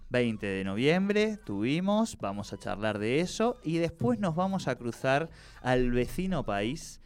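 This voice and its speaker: A man's voice: medium pitch, very animated, unhurried, clean tone. A man hosting a radio talk show in a chatty, rambling way, restarting words and laughing often. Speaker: radio host. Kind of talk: casual radio chat.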